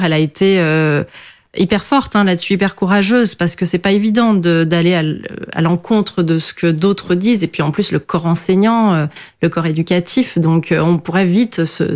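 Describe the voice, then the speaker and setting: A woman's voice: on the low side, normal speed, darkish tone. A middle-aged woman from France whose first language is Portuguese, answering interview questions in a hesitant way with many fillers and restarts. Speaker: guest.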